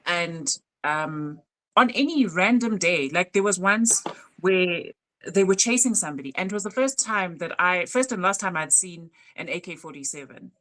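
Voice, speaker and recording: A woman in her thirties with a South African accent, co-hosting a casual podcast chat and telling stories with animated emphasis. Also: on the low side, moderate pace, rough recording. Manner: animated storytelling with isiZulu words mixed in. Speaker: podcast co-host.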